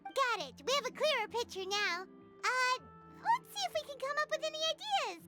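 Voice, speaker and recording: A woman voicing a small, excitable female sprite companion in a video game who talks about herself in the third person. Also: extremely high pitch, moderate pace. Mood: chirpy. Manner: excitable, refers to herself by name. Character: tiny floating female companion sprite, chirpy and eager. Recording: game audio with loud music behind.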